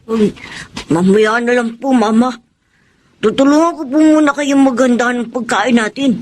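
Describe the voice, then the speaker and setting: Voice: medium pitch, normal speed, slight background noise, lightly acted. A performer in a radio drama playing a meek, polite adopted boy who speaks hesitantly and wistfully. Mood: wistful, hurt. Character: gentle, polite adopted boy, hurt older brother. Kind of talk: Tagalog radio drama acting.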